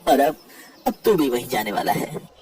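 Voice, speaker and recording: A man's voice: medium pitch, sing-song, normal speed, lightly acted. Man playing a sly old heron, wildly swooping. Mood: gloating. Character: sly old heron, falsely tearful and gloating. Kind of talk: animated animal fable dialogue. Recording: distant voice with clear background noise.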